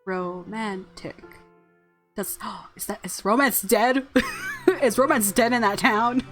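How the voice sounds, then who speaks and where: A woman hosting a let's play, reading story narration and reacting casually in a playful, expressive way. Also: mid-range voice, slow, soft background music. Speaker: gaming video host.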